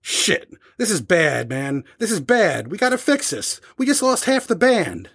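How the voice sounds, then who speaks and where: Actor as an agitated, high-pitched male rocker. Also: big pitch swings, a little slow, fairly bright tone, breathy edge, full character voice. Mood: worried. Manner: urgent, slangy. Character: agitated rock bandmate, urgently trying to hold band together. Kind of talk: audio horror drama acting.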